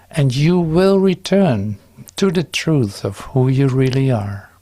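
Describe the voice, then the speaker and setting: A man giving a calm, solemn, measured reading of a devotional text, with drawn-out pauses. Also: medium pitch. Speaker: devotional reader.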